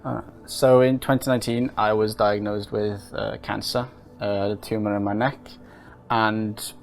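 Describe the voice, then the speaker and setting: A young man in his twenties answers interview questions with a low voice. He speaks in a calm, unhurried way and pauses often as he thinks. His voice has a gravelly edge, and faint music plays behind him. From Wales and Norway. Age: 20s. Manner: calm, unhurried, with frequent hesitations. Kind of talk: casual personal testimony.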